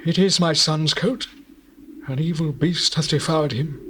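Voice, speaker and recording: An actor playing an aged, mournful father speaking slowly in a theatrical scripture drama. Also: on the high side, fairly bright tone. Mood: anguished grief. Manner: slow, mournful. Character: Jacob, grief-stricken aged biblical father. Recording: hissy old cassette tape, birdsong behind.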